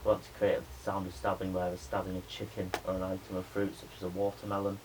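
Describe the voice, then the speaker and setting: A young adult man, a media student giving a spoken pitch presentation to a class. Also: monotone, fast. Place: indoor room with a small audience. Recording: noisy room recording.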